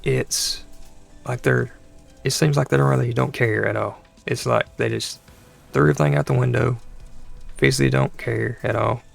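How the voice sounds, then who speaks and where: Man, gaming host, very gravelly fry, hoarse dry throat, rambling, exasperated, medium pitch. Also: fairly even intonation, normal speed, soft background music. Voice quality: gravelly, creaky voice. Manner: rambling, exasperated venting with restarts. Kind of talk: casual commentary over gameplay.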